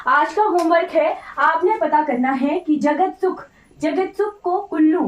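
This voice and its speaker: A woman's voice: extremely high pitch, measured pace, highs cut off. An Indian woman teacher giving an informal online class lecture, mixing in English terms, repeating points and checking in often, her voice slightly off. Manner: repetitive, instructive, often checking with "right?". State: voice slightly off today. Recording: roomy echo, distant microphone.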